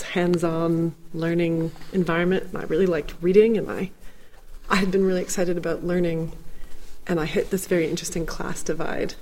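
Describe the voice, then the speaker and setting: A woman's voice: lowish voice, darkish tone. A woman from New England, a guest on a radio interview, talking thoughtfully with many restarts. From New England, United States. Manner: thoughtful, many restarts, quick bursts of animation. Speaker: guest, environmental studies director.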